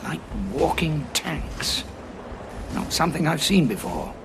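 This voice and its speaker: A resolute, probing male time traveller in a gravelly, slightly raised voice. He sounds dry and weary, and noisy war ambience sits behind him throughout the audio drama. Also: fairly high pitch, big pitch swings, slow pace, exaggerated voice.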